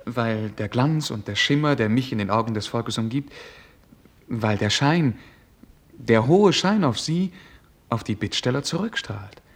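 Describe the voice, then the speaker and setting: An actor playing a courteous, naive young prince in a German radio play, speaking formally and earnestly with a hesitant, easily flustered manner. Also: male voice, mid-range voice. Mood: pained, earnest.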